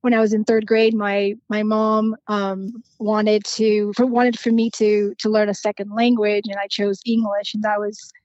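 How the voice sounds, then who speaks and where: A woman in her forties, raised in Romania, speaking as a podcast guest in a reflective way, pausing often with ums and restarting her sentences. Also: mid-range voice, flat delivery, darkish tone, no room sound, highs cut off. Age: 40s. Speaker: podcast guest, financial planner. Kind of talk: conversational interview.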